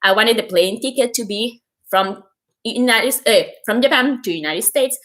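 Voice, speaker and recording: A woman's voice: on the high side, normal speed, a bit bright. A woman from Costa Rica with a Costa Rican Spanish accent, explaining things informally on a livestream and stressing key points with frequent filler words. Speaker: host giving application advice.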